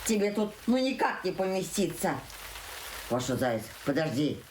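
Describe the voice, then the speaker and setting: A woman voicing a gruff, steady male ant who grumbles in a low, unhurried voice. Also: a little hiss or hum, low-quality recording. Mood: grumbling.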